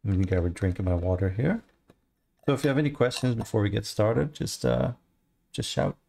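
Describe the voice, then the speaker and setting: A man's voice: lowish voice, a little fast, vocal fry, slight background noise. A man in his thirties with a mixed Australian, Irish and American accent, chatting casually on a livestream and answering viewer questions through a headset mic from a home studio. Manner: relaxed, rambling, answers chat questions. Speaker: livestreamer, 3D artist.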